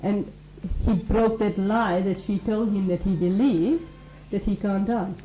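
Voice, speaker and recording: A woman's voice: medium pitch, a little slow, warm tone, reverberant, a little hiss or hum, low-quality recording. A woman who teaches mindfulness and talks earnestly on a radio show, explaining ideas through everyday examples and acted-out quotes. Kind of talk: conversational radio talk.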